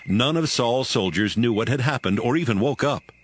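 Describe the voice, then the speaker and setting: A man's voice: medium pitch, expressive, normal speed. A man narrating scripture as scripted dramatic storytelling.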